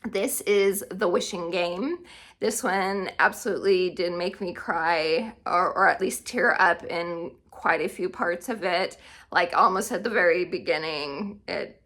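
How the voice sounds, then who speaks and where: A woman's voice: on the low side, bright, forceful tone. A woman talking informally as a vlogger, in a casual, chatty style, thinking aloud as she goes. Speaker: book vlogger. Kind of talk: casual, chatty book tag video.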